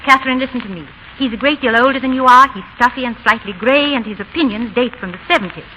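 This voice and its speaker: Brisk, sharp, scolding Englishwoman. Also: fairly high pitch. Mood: exasperated, scolding. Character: brisk, exasperated, bossy Englishwoman, disapproving companion. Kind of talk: 1930s radio drama acting. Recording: noisy 1930s radio broadcast.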